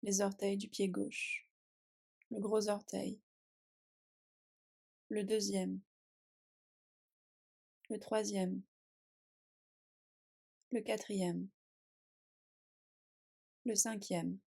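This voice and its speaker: A woman guiding a relaxation meditation in a calm, soothing and unhurried manner, speaking in short phrases with long pauses. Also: medium pitch, gravelly, husky. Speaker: yoga nidra meditation guide. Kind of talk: guided relaxation meditation, scripted.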